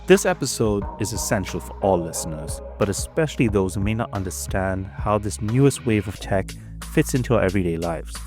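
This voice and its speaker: A man reading a scripted podcast introduction in a measured way. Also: fairly low pitch, expressive, over music. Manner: measured, read-out delivery. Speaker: podcast host.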